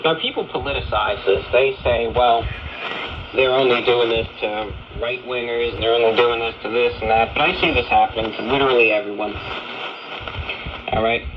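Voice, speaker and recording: A man's voice: mid-range voice, steady tone, normal speed, highs cut off. A man hosting a radio talk show, speaking earnestly with frequent fillers and some emphatic stresses. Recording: broadcast heard through a shortwave receiver with static.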